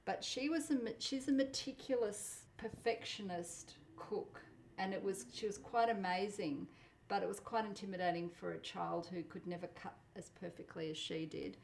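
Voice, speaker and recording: A middle-aged woman who grew up speaking Polish, talking thoughtfully in a relaxed interview while cooking, with the odd soft laugh. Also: mid-range voice, creaky, reverberant. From Australia. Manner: thoughtful, measured, laughs softly. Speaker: interviewee, home cook. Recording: faint background noise.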